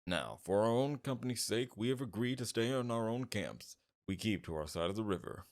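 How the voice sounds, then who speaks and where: A man playing a smug, flirtatious and menacing mob boss in an ASMR roleplay, with a suave, teasing delivery and a gravelly voice. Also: lowish voice, lively intonation, a little mellow, close to an everyday voice. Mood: businesslike. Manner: teasing, suave, drawn-out flirtation with veiled threats. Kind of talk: ASMR roleplay, scripted character acting.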